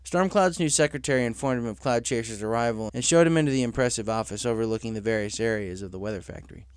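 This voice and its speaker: A man's voice: medium pitch, fast talker, clean tone, no echo. A man reading scripted fiction aloud as a story narrator.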